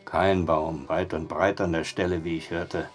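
A man's voice: low-pitched, flat delivery, measured pace. An actor playing a dry, skeptical male barkeeper in an audio drama. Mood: mysterious, matter-of-fact. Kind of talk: German audio drama acting.

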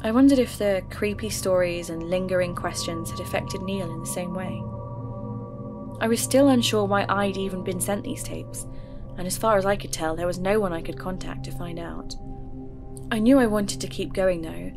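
A woman narrating her own investigation in first person for an audio drama podcast, in a measured, reflective storytelling manner. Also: on the low side. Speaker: narrator and host. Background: background music.

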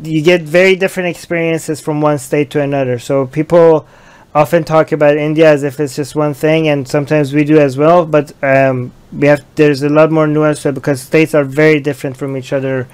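A young man with a smooth, resonant voice, a touch on the higher side, hosting a relaxed livestream from a small room. He talks into a headset, reading viewer comments aloud and reacting to them. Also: a little fast. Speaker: livestream host. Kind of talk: casual live-stream discussion.

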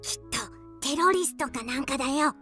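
A woman's voice: high voice, brisk, sharp tone, faint music behind. A woman playing a cheeky, childlike little pig in an audio drama. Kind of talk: audio drama acting and announcement.

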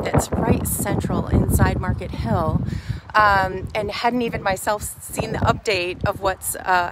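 Slow, halting woman in noisy surroundings. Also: medium pitch. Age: middle-aged. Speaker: host of a shopping preview vlog. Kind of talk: unscripted walk-through vlog narration. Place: outside a metal warehouse store, then large busy indoor market and cafe. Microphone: phone at arm's length. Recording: noisy handheld phone recording on location.

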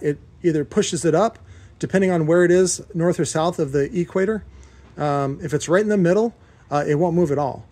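A man with an American accent and a somewhat higher-pitched voice talks through his workshop. His delivery is casual and unscripted, wandering from point to point in plain, informal language. He sounds like someone presenting his own equipment on a handheld camera. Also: darkish tone. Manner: rambling, informal, occasionally profane. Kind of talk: casual off-the-cuff shop walkthrough. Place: cluttered industrial workshop indoors. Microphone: handheld camera held by the speaker.

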